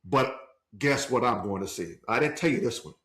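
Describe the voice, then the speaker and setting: A man co-hosting a podcast, teasing his cousin in casual, animated chat. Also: mid-range voice, normal speed, a little hiss or hum. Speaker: podcast co-host.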